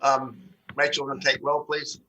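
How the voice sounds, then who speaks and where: Male board chair, bright mid-range voice, informal, folksy, a bit hesitant. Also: medium pitch, flat delivery, normal speed, faint music behind, rough recording. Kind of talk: informal public meeting talk.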